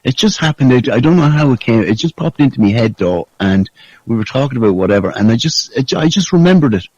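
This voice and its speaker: A man's voice: mid-range voice, lively intonation, fast talker, darkish tone, dry recording. A man chatting casually and telling stories in a sweary, conspiratorial way over a lo-fi voice call. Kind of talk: casual chat. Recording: dull lo-fi call audio.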